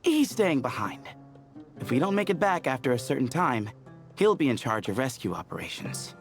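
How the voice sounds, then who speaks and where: A man voicing a genial, brisk young expedition leader in a video game, organised and wry. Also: mid-range voice, very animated, gravelly, faint music behind. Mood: businesslike. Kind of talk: video game character dialogue.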